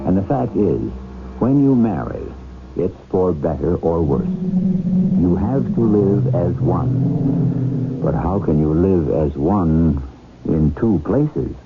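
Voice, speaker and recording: Male host, low voice, wry rhetorical narration, animated, 1970s radio, phone-like sound. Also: slow, background noise. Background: music.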